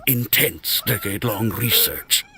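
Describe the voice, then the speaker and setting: An actor playing a boastful, opinionated archaeologist in a video game, lecturing in a pompous, emphatic way. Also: male voice, fairly low pitch, animated, unhurried, sharp tone, a little hiss or hum, full character voice. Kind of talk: theatrical game voice acting.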